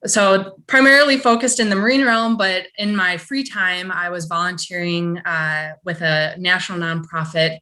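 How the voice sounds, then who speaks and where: A young woman from Michigan introducing herself at a public meeting over a video call, talking in a halting way with many fillers. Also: on the low side, fairly even intonation, pushed and bright. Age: young adult. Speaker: new science fellow.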